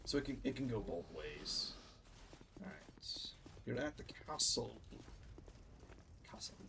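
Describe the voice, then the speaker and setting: A man's voice: medium pitch, sing-song, a little slow, vocal fry, noisy background. A man hosting a gaming channel, giving casual, chatty gameplay commentary that rambles with fillers and restarts.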